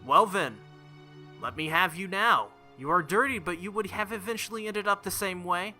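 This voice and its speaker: Man plays arrogant game villain, male, sneering and haughty, very bright forceful tone, music behind. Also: high voice, moderate pace, voice pushed up. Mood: gloating. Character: arrogant, mocking, gloating male villain with a sword.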